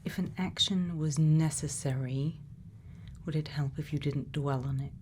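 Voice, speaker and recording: Female ASMR performer, caring scientist role, very unhurried and soothing, soft half-whispered delivery, faint noise behind. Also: sits low. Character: sweet, caring scientist speaking to a robot friend. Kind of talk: soft-spoken ASMR roleplay, often whispered.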